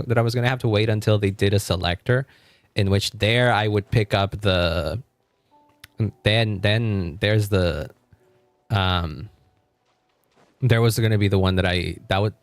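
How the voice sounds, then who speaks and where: A man's voice: fairly low pitch, no room sound. A man live streaming in a casual, rambling way, thinking aloud and often chuckling as he chats with viewers. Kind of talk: casual gaming livestream chat.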